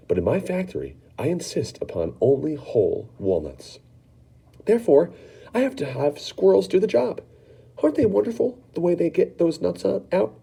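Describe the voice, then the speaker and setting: A man voicing an excitable, eccentric male chocolate-maker who talks eagerly with bouncy swings in pitch. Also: creaky, breathy edge, full character voice.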